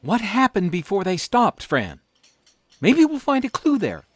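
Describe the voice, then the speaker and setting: A woman's voice: medium pitch, huge pitch swings, a little slow, breathy edge, no room sound, heavily acted. A woman voicing a determined male space hero who speaks urgently and insistently.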